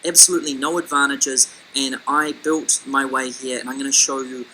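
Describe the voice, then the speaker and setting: A young man with a New Zealand accent, presenting an online business course in an upbeat, pitchy way and calling viewers "guys". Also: level tone, moderate pace, pushed and bright, a little hiss or hum, poor audio. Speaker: business coach.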